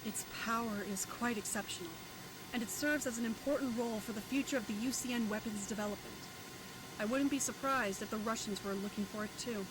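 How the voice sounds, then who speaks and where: A woman voicing a composed military operator who briefs a mech pilot in a video game, measured and informative. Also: fairly high pitch, very noisy, natural voice. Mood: explanatory, wry. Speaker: mission operator in a mecha game. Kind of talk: scripted game briefing voice-over. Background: game music and engine sounds.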